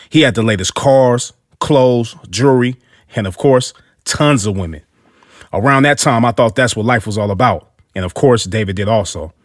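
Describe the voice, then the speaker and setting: A man's voice: fairly low pitch, dry recording, clean studio sound. A man narrating a scripted motivational story in an earnest way, with hip-hop street slang.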